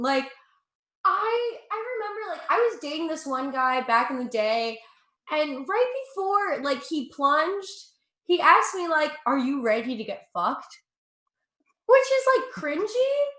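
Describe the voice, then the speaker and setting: A woman's voice: high-pitched, very animated, a little slow, a bit bright, reverberant. A young woman from Russia with an American accent doing a chatty, profane stand-up set, laughing at her own jokes. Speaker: stand-up comedian.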